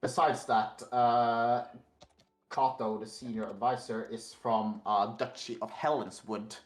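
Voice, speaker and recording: Guy, average pitch, casual stream guest, informal and a bit halting. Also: steady tone. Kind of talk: casual worldbuilding chat.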